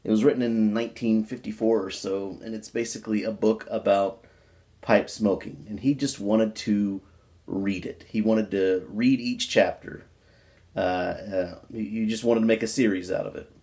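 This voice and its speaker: A man speaks in a somewhat low voice with an informal, folksy manner. He takes his time, as in a homemade video where someone chats casually and reads a book aloud. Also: fairly even intonation, normal speed, dark tone. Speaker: pipe-smoking video creator reading a book aloud. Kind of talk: casual chat, then reading a book aloud.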